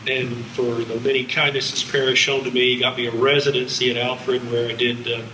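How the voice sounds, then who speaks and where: Older american guy lecturing w slides, wry asides, sharp bright voice, echoey room a bit far from mic. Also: medium pitch, little pitch movement, fairly quick. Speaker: music college lecturer. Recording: echoey hall, distant, faint background noise.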